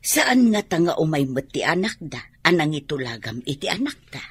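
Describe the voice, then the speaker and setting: A middle-aged Ilocano woman's husky voice with lively swings in pitch. She plays a concerned village mother in a radio drama, resigned to tradition. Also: normal speed, full character voice. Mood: inquiring.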